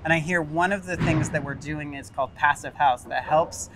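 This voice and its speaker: A man's voice: a little high, moderate pace, background noise. A man in his thirties, a library director, hosting an outdoor interview at a construction site with upbeat, friendly questions.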